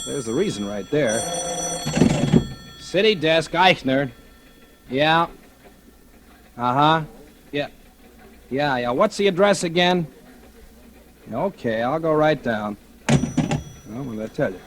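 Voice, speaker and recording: An actor playing a cocky, restless newspaper reporter and would-be crime novelist in a 1940s radio drama, talking in casual slang. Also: male voice, fairly high pitch, animated, takes it slowly, long pauses, fairly bright tone, slight background noise. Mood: irritated, defensive. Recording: old 1940s radio recording.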